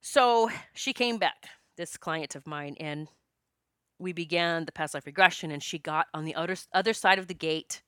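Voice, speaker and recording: A woman's voice: fairly low pitch, bright, forceful tone, clean tone, dry recording, clean studio sound. A woman in her fifties, a past life regression practitioner, telling stories in a chatty, joking way. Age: 50s. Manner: chatty, joking, storytelling with quoted dialogue. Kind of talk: casual spiritual monologue.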